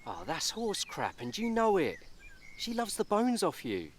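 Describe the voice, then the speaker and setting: An actor playing a blunt, caring friend in a radio soap, talking in a wry, emphatic, colloquial way. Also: male voice, sits high, wildly swooping pitch, unhurried, a little hiss or hum, exaggerated voice. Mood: earnest, sympathetic. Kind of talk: naturalistic radio soap acting.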